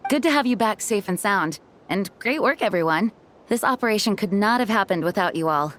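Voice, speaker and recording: A woman's voice: mid-range voice, expressive, a little fast, a little hiss or hum, natural voice. A woman voicing a gracious, dutiful young knight commander in a video game, courteous and earnest. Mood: poised.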